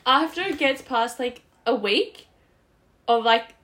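A young woman of about twenty with a fairly high voice chats informally like she is among friends. She is giggly and playfully argumentative, and the recording has some background noise. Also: slow, fairly bright tone. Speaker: podcast co-host. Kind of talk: casual, giggly chat between friends.

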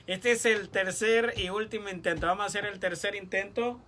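A male host with a high, bright voice and a halting delivery, often starting words over. A faint hiss of noise sits under him. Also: steady tone. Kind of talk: live-stream interview.

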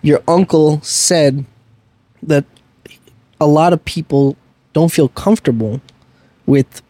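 A man co-hosting a podcast, teasing his friend with deadpan jabs. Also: fairly high pitch, slow, dry recording. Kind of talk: casual podcast banter.

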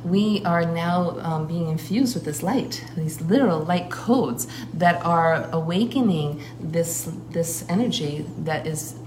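A woman who works as a shamanic priestess, talking earnestly in a flowing way and pausing often to find her words. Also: lowish voice, a little mellow. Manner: earnest, flowing, with frequent filler pauses. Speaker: shamanic priestess and guest speaker. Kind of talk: casual spoken account. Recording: roomy recording with clear background noise.

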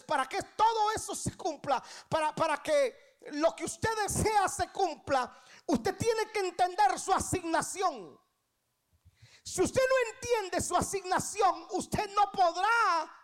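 A woman in her thirties with a Guatemalan Spanish accent preaches a sermon, emphatically repeating key phrases and putting questions to the congregation. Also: very high-pitched. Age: 30s. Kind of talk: church sermon.

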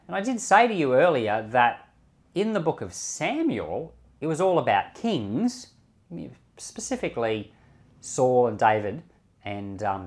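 A man's voice: medium pitch, darkish tone. A man speaking as a pastor and Bible podcast host, reading scripture expressively and commenting on it informally.

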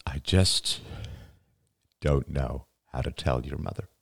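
A man voicing a grieving elderly father in an audiobook, gentle and halting, close to tears. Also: a bass voice, creaky, breathy edge, no room sound, heavily acted. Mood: tearful, pleading. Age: older. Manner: halting, sighing, breaking into sad laughter. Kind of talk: dramatic audiobook dialogue.

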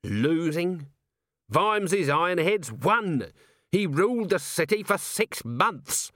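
A man's voice: on the high side, sing-song, takes it slowly, a bit bright, no echo, voice pushed up, exaggerated voice. Man voicing a tired, stern watch commander, dry and clipped. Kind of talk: audiobook character voice.

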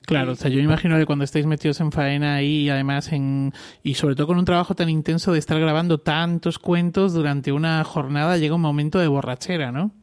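A man's voice: on the high side, fairly even intonation, fast talker, darkish tone, clear, resonant tone, no room sound, clean studio sound. A man hosting a panel, reflective and affirming. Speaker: host. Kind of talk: casual panel conversation.

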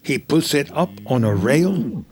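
A man with a husky voice reading scripted, present-tense screenplay narration as a storyteller. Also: on the high side, lively intonation, a little slow, a little mellow.

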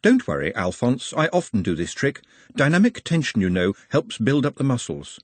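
A man voicing an earnest, polite, scholarly orc in a storybook, with formal, measured phrasing. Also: medium pitch, big pitch swings, no echo, voice pushed up. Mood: earnest, calm.

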